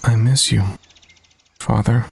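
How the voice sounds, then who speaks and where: A man's voice: medium pitch, flat delivery, slow pace, gravelly, husky, dry recording, exaggerated voice. A man playing a brash, impatient young squad captain in an audio drama, speaking in a curt, commanding way. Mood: wistful.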